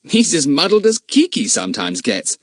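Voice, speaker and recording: A man's voice: fairly high pitch, wildly swooping pitch, normal speed, dry recording, clean studio sound, pitched above the performer's own voice. A male narrator voicing an emphatic, hot-tempered schoolboy. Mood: chatty. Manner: indignant, emphatic.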